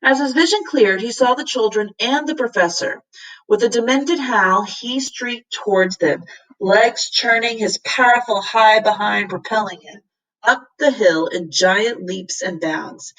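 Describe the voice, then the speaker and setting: Woman teacher reading a kids adventure story out loud, dramatic, bit of creak, mic kinda far away. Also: mid-range voice, measured pace. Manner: dramatic, acts out the characters' lines. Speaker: teacher reading aloud to her class. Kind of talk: expressive children's story read-aloud.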